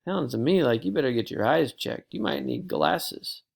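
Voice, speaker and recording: A reader voicing a kind, reassuring mother in an audiobook, speaking gently. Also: medium pitch, wildly swooping pitch, normal speed, gravelly, exaggerated voice. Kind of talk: audiobook character voice.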